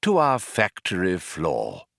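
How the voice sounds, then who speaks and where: Man voicing a courteous old alien planet builder, swooping pitch. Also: wildly swooping pitch, slow, vocal fry, a bit bright, no room sound, clean studio sound, exaggerated voice. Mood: welcoming. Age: older. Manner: polite, mild, cheerfully understated. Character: elderly alien planet builder, genial, courteous, mildly vague. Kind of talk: audiobook character voice.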